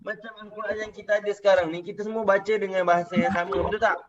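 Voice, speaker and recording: A man's voice: high-pitched, fairly even intonation, fast, over a noisy crowd. Patient male teacher on a muffled call. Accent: Malaysian Malay. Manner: patient, repeats questions, checks understanding. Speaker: religious teacher. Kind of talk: online class teaching children. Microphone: video call. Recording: muffled lo-fi video call audio.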